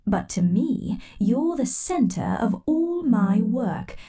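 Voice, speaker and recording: A woman performing an ASMR roleplay as a possessive, controlling scientist who addresses the listener in a soft, slow, menacing way. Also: a little high, big pitch swings.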